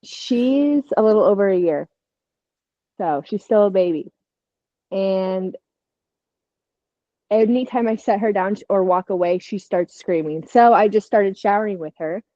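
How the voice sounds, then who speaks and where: A woman's voice: mid-range voice, measured pace, pauses often, mellow, no room sound, highs cut off. A woman in her twenties co-hosting a casual podcast, telling personal stories in a candid way. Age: 20s. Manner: candid, storytelling. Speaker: podcast co-host. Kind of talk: casual chat.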